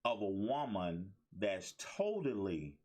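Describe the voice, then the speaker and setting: A man's voice: fairly low pitch, very animated, slow pace, a little mellow. A man hosting a talk show, teasing his guests playfully and laughing often. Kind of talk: casual interview chat.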